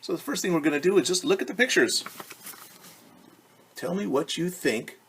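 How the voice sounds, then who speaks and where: A man's voice: on the high side, animated, normal speed, fairly bright tone, a little hiss or hum. A man teaching young children, reading a picture book aloud and patiently explaining it in simple terms with questions.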